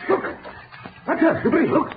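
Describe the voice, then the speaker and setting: A man's voice: extremely high pitch, expressive, moderate pace, phone-quality sound. An actor playing an earnest, anxious male doctor, exclamatory, in the manner of 1930s radio drama. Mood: elated. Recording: thin, noisy 1930s radio recording.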